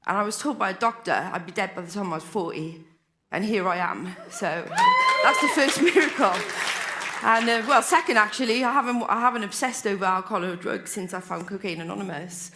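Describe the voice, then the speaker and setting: A woman's voice: mid-range voice, very animated, brisk, over a noisy crowd, heavy background noise. A Welsh woman in her forties giving a candid, rambling personal share as a speaker at a recovery convention, often restarting her sentences. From Wales. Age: 40s. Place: convention hall stage.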